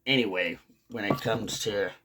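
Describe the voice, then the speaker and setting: Slow-talking man rambling casually. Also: on the low side, background noise. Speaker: video host, hobby commentator. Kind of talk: casual rambling commentary to viewers.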